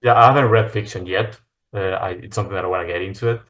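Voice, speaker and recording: A man with a low voice asks easygoing questions in a podcast chat. He sits away from the microphone, so he sounds distant. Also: steady tone, racing, a bit bright. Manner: easygoing, asking short questions. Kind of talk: casual podcast conversation. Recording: voice from off-mic.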